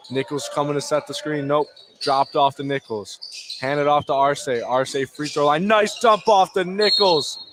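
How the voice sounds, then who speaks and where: Male basketball play-by-play caller over gym noise. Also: fairly high pitch. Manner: clipped running phrases. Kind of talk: live sports radio commentary. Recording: noisy gym ambience behind. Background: basketball bounces, gym noise.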